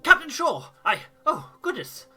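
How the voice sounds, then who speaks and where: A man voicing an excitable, panicky crewman in a game, stammering and exclaiming breathlessly. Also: very high-pitched, measured pace, soft background music, pitched above the performer's own voice, heavily acted. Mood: flustered. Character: excitable, panicky male crewman convinced he saw a monster.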